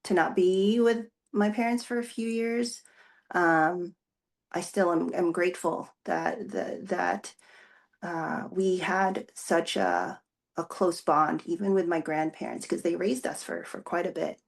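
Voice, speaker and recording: A Filipino-born woman whose first language is Tagalog talks through her story in an interview. Her voice sits low with a gravelly fry, and she pauses often with fillers and restarts as she thinks. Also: steady tone. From Philippines. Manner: thoughtful, many fillers and restarts. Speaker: executive pastor, guest. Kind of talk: casual interview answers.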